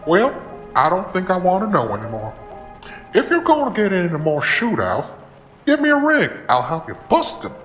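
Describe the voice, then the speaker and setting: A cranky, nosy old codger from a noir audio drama. His voice is high and jumps around animatedly, with folksy old-timer phrasing. It sounds slightly muffled in an echoey room, with music behind. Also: wildly swooping pitch, moderate pace. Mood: gruff, curious. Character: nosy old man neighbor with a shotgun, cranky.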